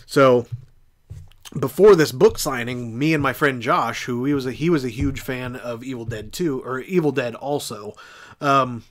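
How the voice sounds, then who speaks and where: An American man with a mid-pitched voice hosts a casual podcast. He tells stories in a loose, rambling way, doubling back and losing his thread, with some offhand swearing. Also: unhurried. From southeastern Indiana, United States. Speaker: podcast host. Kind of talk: casual storytelling on a horror podcast.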